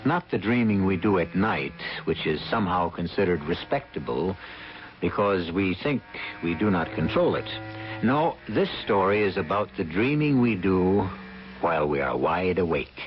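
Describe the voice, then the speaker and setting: A man hosting an old-time radio drama with measured, theatrical scripted narration. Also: sits low, very animated. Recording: dull old radio broadcast. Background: music.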